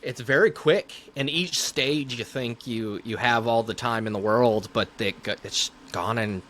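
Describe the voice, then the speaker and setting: A man's voice: medium pitch, a little slow, a bit bright, a little hiss or hum. A man in his thirties speaking as a neurologist guest in a casual interview.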